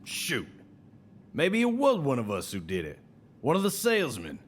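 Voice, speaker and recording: A man's voice: medium pitch, huge pitch swings, moderate pace, a little hiss or hum. An actor playing a brash, sly, folksy salesman with an American Southern accent and needling, colourful idioms in an audio drama. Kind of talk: scripted audio drama acting.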